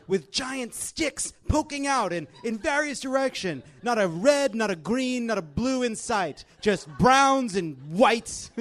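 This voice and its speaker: Man putting on a high chipper gloating woman. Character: chipper woman gloating over grim holiday decor.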